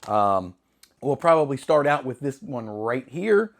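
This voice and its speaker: A middle-aged man with a slightly hoarse voice, chatting casually to camera in a rambling, self-deprecating way with jokey asides. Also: medium pitch, sing-song, measured pace. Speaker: film hobbyist channel host.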